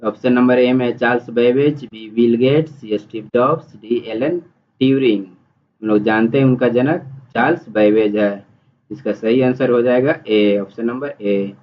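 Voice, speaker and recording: A man's voice: mid-range voice, measured pace. Muffled recording of a man teaching. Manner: instructional, repeats questions and answers. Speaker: exam-prep teacher and quiz host. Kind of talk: reading multiple-choice questions and explaining answers. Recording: dull, muffled lo-fi audio.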